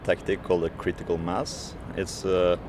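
A man with a very low voice, answering slowly and hesitantly, stopping often on fillers and restarting his sentences. Clear traffic and street noise run behind him. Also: little pitch movement. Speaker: striking food courier. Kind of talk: interview answers.

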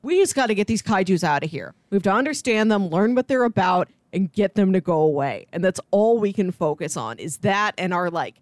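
A woman's voice: medium pitch, expressive, brisk, hardly any pauses, darkish tone, no echo. A woman co-hosting a podcast, discussing things in a casual, conversational way. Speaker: podcast co-host reviewing a film.

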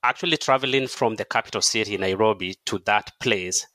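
Kenyan guy being interviewed, bright crisp edge to his voice, very dry close sound. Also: lowish voice, brisk, dry recording. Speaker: podcast guest, charity staff member. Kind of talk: conversational interview, personal storytelling.

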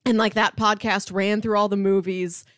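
A woman's voice: medium pitch, flat delivery, moderate pace, fairly bright tone, no echo, clean studio sound. A woman co-hosting a movie podcast, talking casually in a conversational, wry and joking way.

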